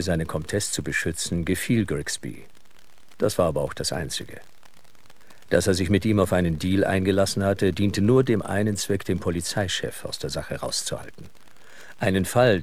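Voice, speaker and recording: A man narrating a story in a scripted radio play. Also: sits low.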